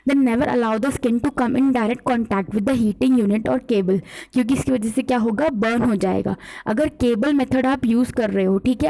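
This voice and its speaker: A woman's voice: fairly high pitch, warm tone, poor audio. A woman with an Indian accent teaching an exam revision lesson, explaining point by point and often checking in with listeners. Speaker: physiotherapy teacher. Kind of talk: exam revision lecture mixing scripted points with casual explanation.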